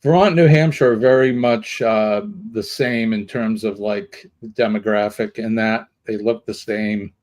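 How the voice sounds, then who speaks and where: A man's voice: lowish voice, steady tone, a little slow, hardly any pauses, a little mellow. New England man in his sixties, explaining. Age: 60s. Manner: explains things, reads out viewer comments. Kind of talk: casual live-stream chat.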